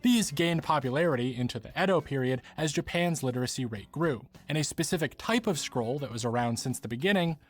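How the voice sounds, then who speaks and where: A man narrates a scripted video essay in documentary style. His voice sits at a middle pitch, and faint music plays behind him. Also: lively intonation, a little fast, few pauses. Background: background music.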